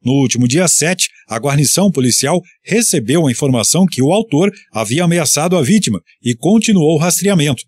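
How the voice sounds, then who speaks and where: A man from Brazil reading a police news bulletin on the radio in a steady, formal newsreading manner. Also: a little high, fairly quick, few pauses, husky.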